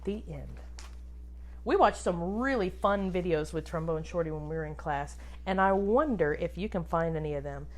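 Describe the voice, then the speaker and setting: A woman reading a children's book aloud expressively, like a teacher, pausing to instruct and put questions to young listeners. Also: fairly low pitch. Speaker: music teacher reading a picture book to pupils.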